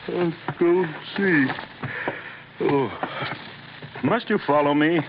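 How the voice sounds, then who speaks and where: A man's voice: on the high side, takes it slowly. An actor playing an impatient, scornful, domineering husband obsessed with his experiments, in an old-time radio horror drama. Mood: grumpy, impatient. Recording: dull, noisy old radio recording.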